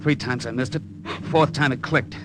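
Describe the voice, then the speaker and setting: A man's voice: mid-range voice, fairly even intonation, normal speed, background noise, lightly acted. A male actor playing a tough, cynical American hard-boiled hero and narrator in a 1940s radio drama. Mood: tense narrating. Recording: old radio recording with music behind.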